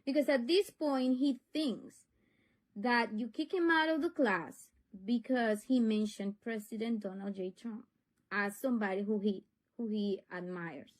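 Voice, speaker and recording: Woman, middle-aged, Honduran accent, native Spanish, high voice, earnest, insistent, serious conversation. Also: a little slow, rough recording. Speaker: parent of a pupil.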